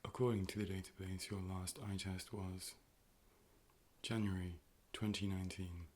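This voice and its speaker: A man playing a calm, polite robotic AI optician in an ASMR role play, speaking in a measured, formal, deadpan way. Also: low-pitched, lightly acted. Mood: calm, clinical. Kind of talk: ASMR medical role play, personal attention.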